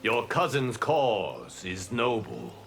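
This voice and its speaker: A man playing a calm, wise, admonishing god in a fighting game, with a solemn, sage-like manner. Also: mid-range voice, slow pace, creaky, soft background music, full character voice. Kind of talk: fighting game dialogue acting.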